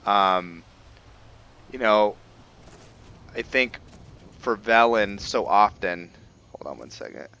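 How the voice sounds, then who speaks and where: Man, bright forceful tone, unhurried pace, casual gaming host, reflective and halting, music in the background. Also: lowish voice, expressive, vocal fry, clear, resonant tone, muffled recording. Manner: halting, reflective, thinking aloud. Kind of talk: casual roleplay game commentary.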